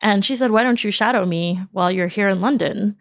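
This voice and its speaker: A woman from New York, a native Spanish speaker, answering interview questions with animated storytelling and frequent laughter. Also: medium pitch, brisk, dry recording, studio quality. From New York, United States. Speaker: podcast company founder, guest.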